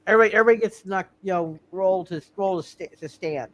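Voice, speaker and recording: Halting, repetitive game master. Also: mid-range voice, slight background noise. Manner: halting, repeats phrases. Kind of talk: live tabletop roleplaying game refereeing.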